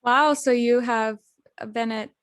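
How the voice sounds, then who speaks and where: A woman's voice: fairly high pitch, fairly even intonation, slow pace, a bit bright, no echo, studio quality. A woman hosting a podcast interview, asking friendly, encouraging questions in a casual way. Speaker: podcast host.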